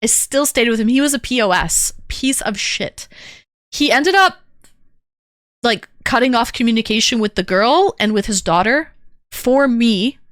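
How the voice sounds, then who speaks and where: Woman streaming, casual rambly storytelling, highish bright voice, dry sound. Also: lively intonation, a little slow, no echo. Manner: candid, rambling storytelling with many fillers. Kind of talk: casual chat to stream viewers.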